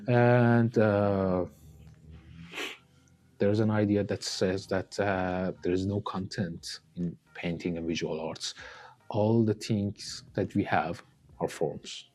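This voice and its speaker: Slow, deep-voiced Iranian man, hesitant. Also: steady tone, a little mellow. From Iran. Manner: hesitant, pausing with many uh fillers. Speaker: artist guest. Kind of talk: casual interview chat.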